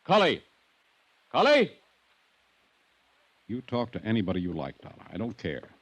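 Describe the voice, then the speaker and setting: An actor playing a domineering, defiant horse farm owner, blunt and imperious, in old-time radio drama style. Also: male voice, on the low side, huge pitch swings, moderate pace, slight background noise. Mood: angry, commanding. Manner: blunt, imperious, barking orders. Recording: muffled vintage radio recording.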